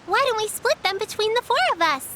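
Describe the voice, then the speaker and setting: A woman voicing a well-mannered, caring little girl in a video game, earnest, formal and gently instructive. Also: extremely high pitch, lively intonation, a little fast, slight background noise, exaggerated voice. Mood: kind, hospitable.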